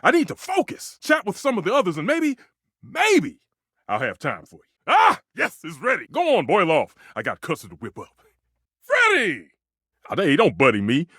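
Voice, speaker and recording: An actor playing a proud, temperamental, food-obsessed stove chef in a video game, with pompous, flowery delivery and exasperated outbursts. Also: male voice, high-pitched, huge pitch swings, moderate pace, bright, forceful tone, breathy edge, no echo, clean studio sound, full character voice. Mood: flustered, impatient, then exasperated, fussy. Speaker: voice actor in a dating simulator game. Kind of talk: theatrical video game character acting.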